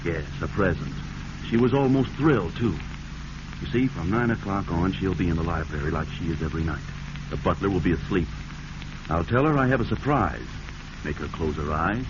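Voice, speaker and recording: A male actor playing a calculating, glib nephew with a schemer's smooth talk in a 1940s radio drama. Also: low voice, brisk, lightly acted. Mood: cold, confident. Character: cold, scheming nephew, smooth-talking and feigning concern. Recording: noisy, muffled 1940s radio recording.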